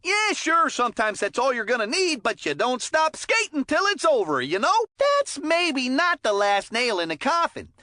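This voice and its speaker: Cartoonish game hockey commentator, high-pitched, very animated, folksy, chummy, encouraging. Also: female voice, normal speed, few pauses, no echo, clean studio sound, heavily acted. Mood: upbeat, excited. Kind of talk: video game sports commentary voicelines.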